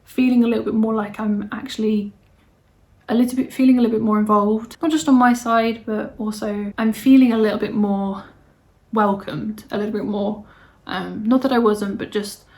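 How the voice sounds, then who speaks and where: A woman, hosting her knitting podcast in a casual, rambling chat full of fillers and restarts, her voice slightly hoarse. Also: on the high side, fairly even intonation, moderate pace, a little mellow.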